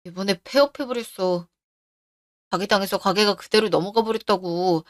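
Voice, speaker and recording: A woman voicing a middle-aged man who is deep in debt and blames others, in a narrated radio story. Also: normal speed, dry recording, studio quality, lightly acted. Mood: pleading. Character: failing middle-aged man, indebted front-man boss.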